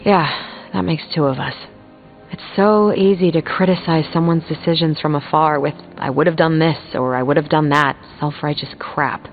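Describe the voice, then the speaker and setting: A woman's voice: low voice, moderate pace. A woman playing a frank, sardonic superheroine in a video game, with blunt, colloquial delivery. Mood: candid. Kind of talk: video game character dialogue. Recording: thin phone-like sound, music behind.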